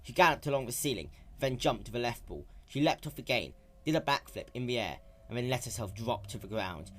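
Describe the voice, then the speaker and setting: Story narrator: man, medium pitch, expressive and varied delivery for a dramatic reading. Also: brisk. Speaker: narrator and reader.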